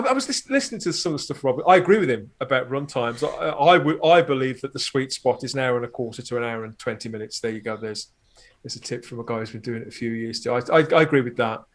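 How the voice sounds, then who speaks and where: A man's voice: mid-range voice, animated, quick pace, fairly bright tone. A man in his forties chatting casually as a podcast guest, jokey and rambling.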